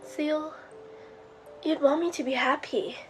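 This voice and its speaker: High female voice, woman acting a wistful serpent lady, formal, gentle, possessive, theatrical monologue, music behind. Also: fairly even intonation, takes it slowly. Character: serpent woman, sweetly polite, wistful, possessive former bartender. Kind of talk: scripted character monologue to the listener.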